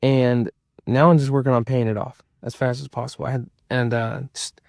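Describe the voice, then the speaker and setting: Man, about thirty, mid-pitched voice, close dry sound, casual vlog chat, rambling, repetitive for emphasis. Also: flat delivery, normal speed, creaky, darkish tone, dry recording. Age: around 30. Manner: rambling, repeats himself for emphasis. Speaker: vlogger. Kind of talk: casual personal chat to viewers.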